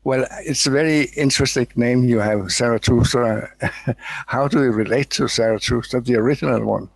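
Old danish guy, 80s, gentle halting way of talking, dry close sound, video call. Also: medium pitch, creaky, dry recording. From Denmark. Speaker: audience member asking questions. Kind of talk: casual spiritual Q&A.

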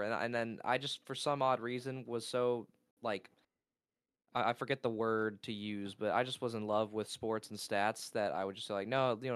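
A young man from Georgia, a college esports player and caster, talking in a casual interview with a naturally loud voice, rambling excitedly and losing his thread. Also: mid-range voice, smooth, no room sound, studio quality. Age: young adult.

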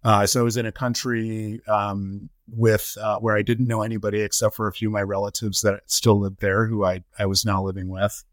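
A middle-aged man from the United States and Trinidad, telling his personal story as an interview guest in measured phrases. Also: on the low side, level tone, dry recording. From United States (Colorado) and Trinidad. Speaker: interview guest, nonprofit chief executive.

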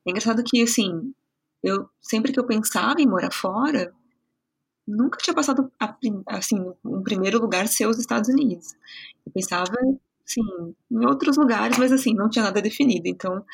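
A middle-aged woman from São Paulo state, Brazil, talking as a podcast guest in a reflective, warm way, full of filler words and restarts. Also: medium pitch, husky. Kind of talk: casual conversational interview.

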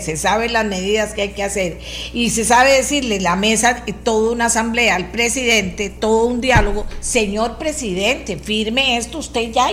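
A woman's voice: medium pitch, fairly bright tone. A woman with a Costa Rican accent and a powerful voice, hosting a talk show with insistent, emphatic political commentary that repeats phrases for stress. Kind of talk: opinionated political commentary addressed to listeners.

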